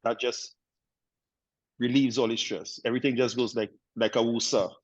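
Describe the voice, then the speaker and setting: A man in his fifties speaking on a panel about his life, relaxed and chatty with frequent restarts. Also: medium pitch, normal speed, dry recording, muffled recording. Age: 50s. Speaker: panel guest. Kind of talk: casual chat.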